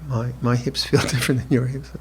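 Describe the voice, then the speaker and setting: A monk in his seventies gives an evening dharma talk, speaking slowly and reflectively with gentle restarts and soft laughter. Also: mid-range voice, flat delivery, breathy edge. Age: 70s. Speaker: monk teacher. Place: retreat hall with listeners.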